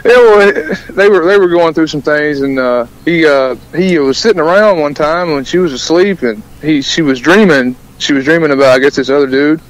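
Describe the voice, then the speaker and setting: A young man in his twenties with a drawl, calling in by phone. His voice sits a bit high for a man, and he talks in a relaxed, halting way, laughing often between thoughts. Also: rough recording. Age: 20s. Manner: laid-back, laughs often, drawling restarts. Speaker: guest musician, soldier. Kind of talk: casual phone-in interview. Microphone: phone call.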